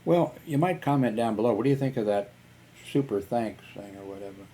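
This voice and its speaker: A man's voice: fairly low pitch, expressive, a little fast, warm tone. A man telling personal stories in a rambling vlog, filling gaps with "you know" and chuckling. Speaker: YouTuber. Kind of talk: casual storytelling vlog.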